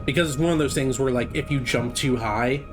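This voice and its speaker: A man's voice: mid-range voice, a little fast. A man giving casual, informal live commentary as he speedruns a video game, thinking aloud. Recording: game music behind the voice. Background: video game music.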